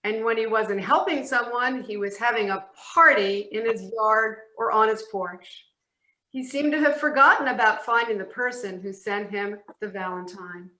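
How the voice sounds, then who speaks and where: A woman's voice: mid-range voice, normal speed, sharp tone. A woman narrating a children's story for a readers theatre performance in an echoey room.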